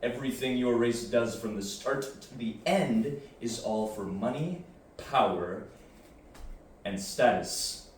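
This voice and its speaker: A man's voice: medium pitch, lightly acted. Man acting aloof post-human warrior, very echoey. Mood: scornful, intense. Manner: deliberate, halting, clipped solemn phrases. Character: aloof post-human male warrior, detached, superior, coldly intense. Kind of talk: sci-fi audio drama acting. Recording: very echoey, distant, faint noise.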